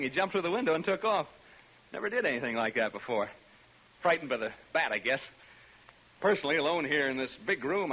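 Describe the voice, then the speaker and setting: A man's voice: fairly high pitch, pushed and bright, breathy edge, full character voice. An actor playing a jittery, skeptical radio DJ alone in a haunted house, stammering and chatting nervously to his listeners in 1940s radio style. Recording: noisy, dull 1940s radio recording.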